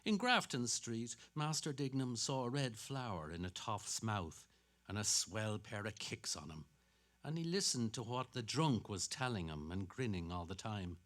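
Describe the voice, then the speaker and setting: Low-voiced, very animated male narrator. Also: moderate pace. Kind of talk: dramatic literary reading, scripted narration.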